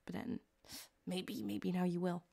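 A woman's voice: lowish voice, flat delivery, a little slow, vocal fry, warm tone, full character voice. A woman playing a flirty, sadistic cyberpunk surgeon in an ASMR roleplay, coaxing and teasing, drifting into whispers on a close microphone. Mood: soothing. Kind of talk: ASMR roleplay, intimate one-sided scene. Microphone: close binaural microphone.

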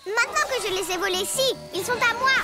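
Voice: very high-pitched, brisk. A performer voicing a mischievous, selfish little villain girl in a French children's cartoon, sneering and gloating. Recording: loud music behind the voice. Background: cartoon score.

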